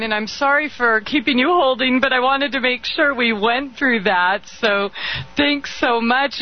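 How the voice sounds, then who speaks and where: A woman hosting a radio call-in show, asking rambling questions full of fillers. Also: fairly high pitch. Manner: inquisitive, with filler-laden questions. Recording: dull lo-fi radio audio.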